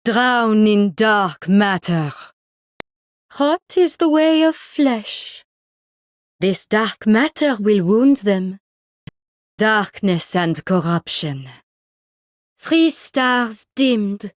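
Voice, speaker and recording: A woman's voice: mid-range voice, animated, drawn-out words, long pauses, no room sound, clean studio sound. An actress playing an imperious, detached cosmic being in a video game, solemn and grandiose in her declamation. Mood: commanding. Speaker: game character voice.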